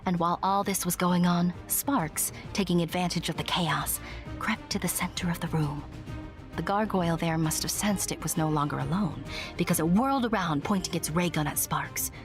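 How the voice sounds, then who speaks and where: A woman's voice: fairly low pitch, normal speed, natural voice. A woman playing a small, proud winged secret agent who narrates an audio drama expressively and earnestly. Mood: proud, excited. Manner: expressive, self-important storytelling. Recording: music behind the voice.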